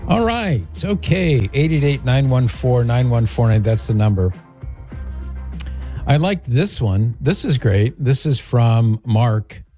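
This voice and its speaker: A man's voice: medium pitch, animated, takes it slowly, mellow, faint music behind. A man hosting a radio talk show, chatting casually and reading listener emails with easy laughs. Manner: chatty, jokey, laughs easily. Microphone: studio mic.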